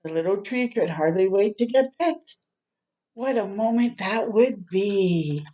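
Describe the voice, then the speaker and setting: A woman reading a picture book aloud to young children in a gentle, expressive storytime manner. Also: medium pitch, a little slow, dull audio. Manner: gentle, expressive, prompting listeners with questions.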